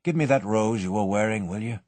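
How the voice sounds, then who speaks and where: A man voicing a cool, composed young safecracker who speaks dryly and commands calmly. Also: on the low side, fairly quick, no room sound, natural voice.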